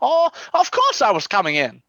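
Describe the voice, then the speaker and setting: A woman's voice: fairly high pitch, wildly swooping pitch, normal speed, fairly bright tone, no echo, highs cut off. A woman playing a glib, evasive male detective with gambling debts, theatrical and breezy, in a comedic radio play.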